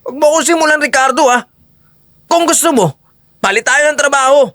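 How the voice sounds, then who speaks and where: A man's voice: very high voice, a little fast, sharp tone, no room sound, clean studio sound. A man acting the young brothers and friend of a Filipino audio drama with emphatic, theatrical delivery. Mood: angry, confrontational.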